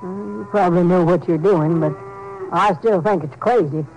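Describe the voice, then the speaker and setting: An actress playing a tough, brash widowed rancher in a 1950s western radio drama, with blunt, folksy speech. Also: female voice, on the low side, normal speed, darkish tone, natural voice. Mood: skeptical, defiant. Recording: noisy old 1950s radio broadcast.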